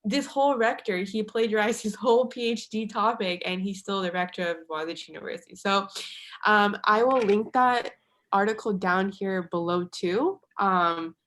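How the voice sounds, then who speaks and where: A woman's voice: mid-range voice, normal speed, fairly bright tone. A young woman, a university student, speaking in a casual, rambling way on a panel video call. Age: young adult. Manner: chatty, rambling, hedging.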